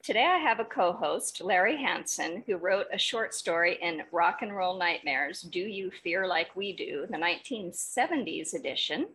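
A woman's voice: lowish voice, lively intonation, normal speed, few pauses, poor audio. A woman hosting a podcast, speaking in a conversational interview style. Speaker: podcast host.

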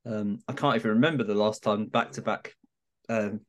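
A man with a medium-pitched voice talks as a guest pundit on a sports podcast. His delivery is conversational and analytical, like an informal chat. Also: normal speed.

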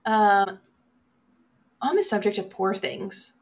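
Creaky-voiced woman in casual film chat. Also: mid-range voice, slow pace. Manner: emphatic, opinionated, sweary. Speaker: podcast co-host.

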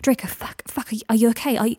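A woman voicing an anxious, protective young man whose voice comes out rough and halting. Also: mid-range voice, steady tone, a little mellow, no echo. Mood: urgent. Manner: urgent, halting, hoarse.

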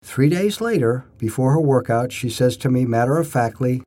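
Older guy reading his life story, bit raspy, pitch moves around a lot, storyteller emphasis. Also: expressive, normal speed, warm tone. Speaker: narrator telling his own memoir stories. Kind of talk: scripted first-person story narration.

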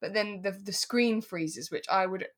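Woman, average pitch, podcast co-host, informal drama recap, playful, amused, conversational. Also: unhurried. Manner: playful, amused, chuckling often. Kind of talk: casual chat recapping a radio drama.

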